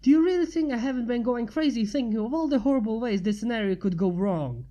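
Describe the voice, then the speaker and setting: Narrator doing a stressed woman writer, urgent and pleading, mellow tone, storybook style. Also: a little high, expressive, fast talker, highs cut off, close to an everyday voice. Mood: exasperated. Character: frantic, stressed young woman writer guarding a secret.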